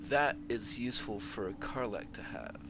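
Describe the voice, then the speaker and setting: Gravelly man narrating his video game. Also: on the low side, very animated, moderate pace, noisy background. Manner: thinking aloud. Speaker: gamer narrating own playthrough. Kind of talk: casual gameplay commentary. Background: game ambience.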